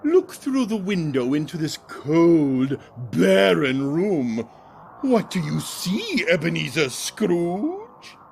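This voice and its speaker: An actor playing a solemn, probing spirit guide in a radio play, speaking deliberately and theatrically. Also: male voice, on the high side, sing-song, vocal fry, slight background noise, exaggerated voice. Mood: solemn, guiding. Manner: slow, deliberate, questioning. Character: solemn, probing male ghostly guide through past memories. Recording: music behind the voice.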